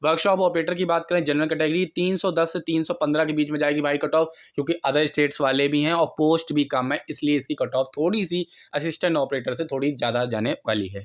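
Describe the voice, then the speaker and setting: Higher-pitched man explaining informally. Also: steady tone, fast, few pauses. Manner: repetitive, instructional, mixes in English words. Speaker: exam analysis presenter, teacher. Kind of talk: informal explainer addressing students.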